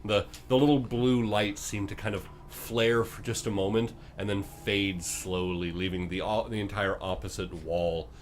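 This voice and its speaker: A man improvising tabletop game narration as game master, building scenes in halting fragments. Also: fairly low pitch, steady tone, a little hiss or hum.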